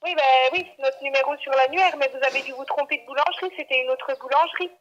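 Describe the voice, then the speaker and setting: A woman with a high-pitched voice handles an unscripted customer call. She is brisk and firm, putting questions to the customer as a shop employee would. She comes through a dull telephone line. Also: a little hiss or hum. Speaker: bakery employee. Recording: dull, band-limited phone line.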